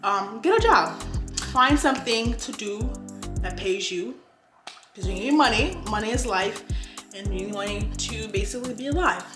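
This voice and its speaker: A woman's voice: medium pitch, expressive, normal speed, reverberant, music in the background. A seventeen-year-old girl from the USA talking casually to camera about money, with lots of "like" and "I don't know". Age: teens. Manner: chatty, full of "like" and "I don't know". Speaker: finance YouTuber.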